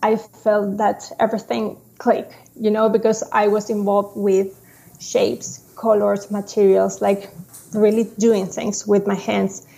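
Slow-speaking woman with gravelly tone. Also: medium pitch, little pitch movement, dark tone, rough recording. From Chile. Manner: slow, halting, with frequent restarts. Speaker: guest, designer. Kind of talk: casual interview.

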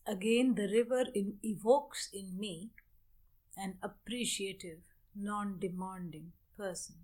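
Woman doing guided meditation, really slow, short phrases w long pauses, middle pitch. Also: fairly even intonation, darkish tone, breathy edge. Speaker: meditation teacher.

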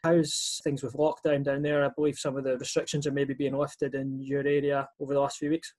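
A man's voice: medium pitch, completely flat delivery, brisk, darkish tone. A man hosting a podcast, asking friendly, long winding questions. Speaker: podcast host. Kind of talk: interview questions.

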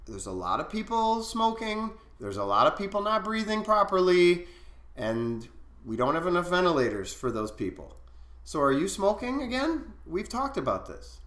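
A woman's voice: fairly low pitch, big pitch swings, normal speed, a bit bright. A woman teasing her friend with dry, mock-concerned questions. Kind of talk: playful banter. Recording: echoey room.

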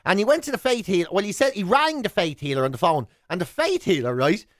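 A man's voice: high voice, fast talker, no echo, studio quality. An Irish man co-hosting a radio show, chatting casually and telling comic stories, acting out the dialogue as he goes. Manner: animated anecdote-telling with acted-out dialogue. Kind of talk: casual comic chat and storytelling. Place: radio studio.

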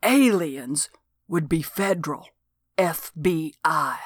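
A woman voicing a gruff, work-shy county lawman with a folksy, exasperated drawl. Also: on the high side, animated, slow, no echo, clean studio sound. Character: gruff, lazy small-town county lawman.

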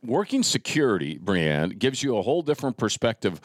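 A man hosting a casual interview podcast in a chatty, folksy, somewhat halting way. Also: fairly low pitch, sing-song, dry recording, studio quality. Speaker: podcast host.

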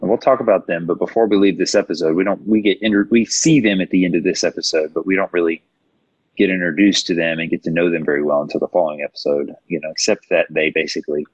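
A man with a deep, slightly rough voice hosts a casual discussion. He thinks aloud and rewords his points conversationally. The recording is dull and lo-fi. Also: steady tone, fast talker. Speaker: podcast host. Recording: roomy echo, dull lo-fi sound.

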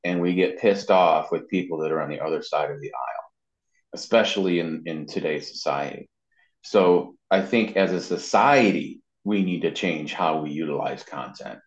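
Deep-voiced man in a roomy, muffled recording. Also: moderate pace. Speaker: interview guest, web marketing consultant. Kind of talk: casual explanatory talk. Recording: roomy echo, dull muffled sound.

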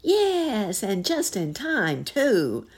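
A woman voicing hungry, greedy, excitable rats in a storybook, exclaiming loudly and gleefully. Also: lowish voice, normal speed, gravelly, husky, voice pushed up, heavily acted. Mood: excited.

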